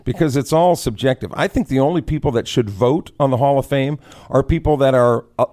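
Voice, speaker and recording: A man co-hosting a sports radio show, chatting casually and giving his opinions in deliberate phrases. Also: medium pitch, a little mellow.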